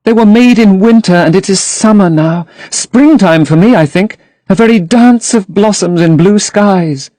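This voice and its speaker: Man voicing young actress, infatuated, gushing, high lilting voice, dry sound. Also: measured pace, dark tone, dry recording. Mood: rapturous. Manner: rapturous, gushing.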